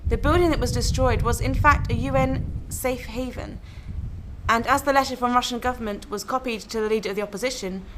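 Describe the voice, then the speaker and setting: A woman playing an earnest news correspondent, giving a measured, formal live report in a radio drama. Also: fairly high pitch, fairly bright tone, slight background noise, lightly acted.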